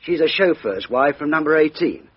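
Respectful sergeant, very raspy, lively voice, dull old radio sound. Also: male voice, a little high, moderate pace. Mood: reporting. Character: deferential young male police sergeant. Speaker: police officer. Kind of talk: 1950s radio drama acting.

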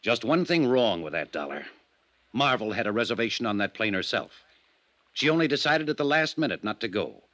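A man's voice: fairly low pitch, big pitch swings, fairly quick, fairly bright tone. An actor playing a bitter, defensive businessman under suspicion, in earnest 1950s radio drama style.

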